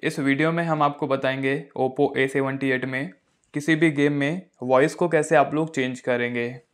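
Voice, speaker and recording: A man hosts a casual tech tutorial. His mid-pitched voice stays very even, with little rise or fall as he explains each step. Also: unhurried. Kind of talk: casual phone tutorial narration.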